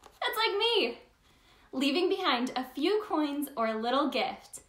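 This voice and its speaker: Woman playing a high, bubbly Tooth Fairy. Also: sing-song, a little slow, fairly bright tone, echoey room, full character voice. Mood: playful, wondering. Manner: exaggerated, sing-song, talks directly to the child listener. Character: cheerful, bubbly Tooth Fairy telling stories to children. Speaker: children's story time host. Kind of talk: animated read-aloud for young children.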